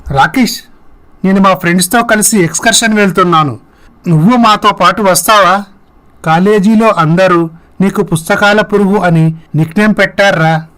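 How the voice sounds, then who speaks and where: A man's voice: high-pitched, moderate pace, a bit bright, poor audio. A man voicing a carefree, spoilt young male student in a scripted story, breezy and cocky in manner. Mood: teasing, careless.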